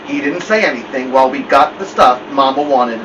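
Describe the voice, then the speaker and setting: A man reading a storybook aloud as a story-time host, with expressive narration. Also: mid-range voice, fairly quick. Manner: expressive read-aloud delivery. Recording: muffled lo-fi, distant mic, loud hiss.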